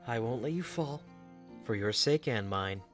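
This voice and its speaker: An actor voicing a calm, protective young knight with a dry, gently teasing manner, in an audio drama. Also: male voice, mid-range voice, a little slow, faint music behind, lightly acted.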